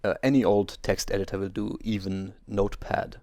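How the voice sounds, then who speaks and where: A man's voice: fairly low pitch, steady tone, moderate pace, a little mellow, no room sound, studio quality. A German man speaking English as a second language, hosting a game let's play and carefully explaining settings step by step with small hesitations. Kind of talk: video game tutorial commentary.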